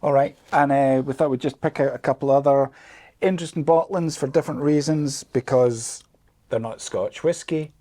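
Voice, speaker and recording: Brief: Scottish co-host, mellow tone, relaxed, easygoing delivery. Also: male voice, mid-range voice, moderate pace. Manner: easygoing, laughs often, hesitant fillers. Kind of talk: casual chat.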